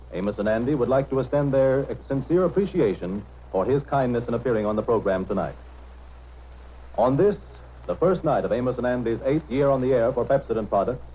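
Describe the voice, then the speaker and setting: Male announcer, medium pitch, dark mellow tone, ceremonious 1930s delivery, muffled old broadcast. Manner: formal, ceremonious. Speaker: radio announcer. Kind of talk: formal 1930s radio announcing. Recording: dull, faintly noisy vintage radio broadcast.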